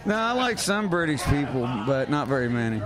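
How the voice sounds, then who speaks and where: A man's voice: on the high side, big pitch swings, brisk, background noise. A man talking in a blunt, profane, casual chat style.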